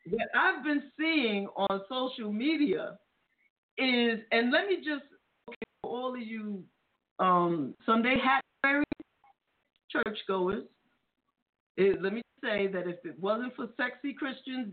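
A woman hosts a casual radio talk show, playful and gushing over her guest. Her voice sounds thin and tinny, like it is coming over a phone. Her pitch sits in a middle range.

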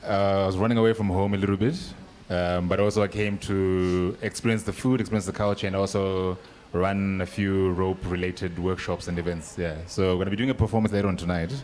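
Guy, really low voice, chill n wry, chatting on stage. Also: flat delivery, brisk, few pauses, a little mellow, slight background noise. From Harare, Zimbabwe. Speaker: panel guest, rope performer. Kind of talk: casual chat on stage. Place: live event with an audience.

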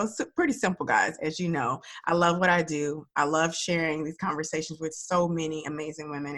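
A woman's voice: lowish voice, bright, forceful tone. A woman hosting an upbeat podcast interview, a bit stuffy and nasal from a cold, talking in an enthusiastic, encouraging way. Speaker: podcast host.

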